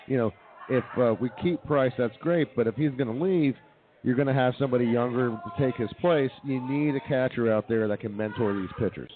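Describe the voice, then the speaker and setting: A male radio host in loose sports-talk banter, with an average-pitched voice. The sound is narrow and tinny, as if it came down a phone line. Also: brisk. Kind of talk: casual sports and comedy banter.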